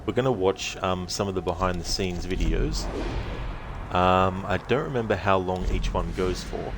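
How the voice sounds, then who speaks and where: Deep, gravelly man streaming games. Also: moderate pace, background noise. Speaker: game streamer. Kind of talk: casual livestream chat.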